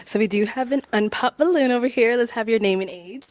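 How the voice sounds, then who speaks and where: A woman hosts a dating show and keeps things moving briskly between contestants. Her voice sits a little on the high side. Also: darkish tone. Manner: brisk, moves the show along.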